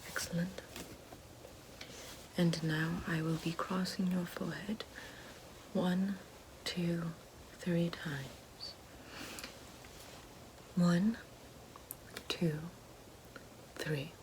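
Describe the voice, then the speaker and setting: A haughty evil queen, acted by a woman whispers slowly and deliberately in an ASMR roleplay. Her breathy voice sits on the low side, with the theatrical air of a sly sorceress down on her luck. There is clear noise in the recording. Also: fairly even intonation. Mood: calm, ominous.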